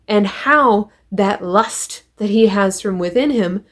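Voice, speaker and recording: A woman's voice: mid-range voice, slow. A woman with a mid-range voice hosts an opinionated review. She retells and critiques in a conversational style, leaning on emphasis and rhetorical questions to make her points. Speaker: comic book reviewer and host.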